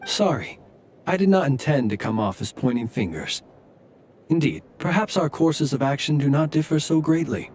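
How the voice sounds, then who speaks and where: A man's voice: a little high, animated, a little mellow, lightly acted. Man acting as a formal, courteous night watchman. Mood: composed, formal. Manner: formal, polite, measured. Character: courteous, guarded night-patrolling male soldier. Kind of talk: video game voice acting. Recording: faint background ambience noise.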